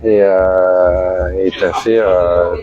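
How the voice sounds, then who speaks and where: A man's voice: low-pitched, level tone, very slow. Chatty northern French man at a busy convention. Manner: chatty, hesitant, with fillers. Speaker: interviewer. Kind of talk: casual live interview. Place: busy tattoo convention hall. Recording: dull sound with crowd behind.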